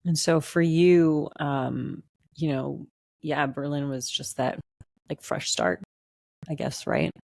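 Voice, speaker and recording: A woman interviewing a guest, giving short backchannels and gentle follow-up questions. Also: low-pitched, slow, gravelly, no echo, clean studio sound.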